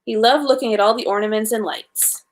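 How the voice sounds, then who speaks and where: High-voiced woman reading a bedtime story. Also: fairly even intonation, a little fast. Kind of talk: storybook read-aloud.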